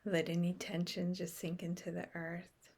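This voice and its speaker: A woman leading a guided meditation in a slow, gentle way, with long pauses between short phrases. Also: on the low side, completely flat delivery, slightly rough.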